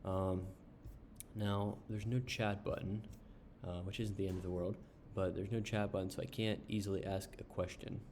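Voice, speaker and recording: A man casually reviewing an online service while browsing its pages, unhurried, with frequent ums and uhs. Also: low voice, flat delivery, a little mellow, a little hiss or hum. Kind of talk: casual screen-share review.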